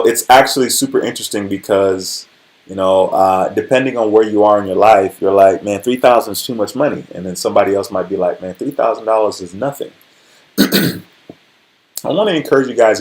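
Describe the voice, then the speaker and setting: A man's voice: fairly low pitch, moderate pace, a little mellow. A man in his forties hosting a livestream, chatting casually and conversationally, often checking in with his listeners. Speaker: livestream host. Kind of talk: casual motivational livestream chat.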